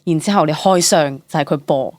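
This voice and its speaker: A young woman with a low voice and a Hong Kong Cantonese accent, recorded close and dry. She talks casually as an actress being interviewed, colloquial and full of exclamations. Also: a little fast, a little mellow, no room sound. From Hong Kong, Southern District. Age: young adult. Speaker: actress, podcast guest.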